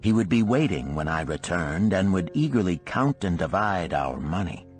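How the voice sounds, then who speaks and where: A man's voice: low voice, sing-song, normal speed, faint music behind. A man reading a story aloud as scripted audiobook narration.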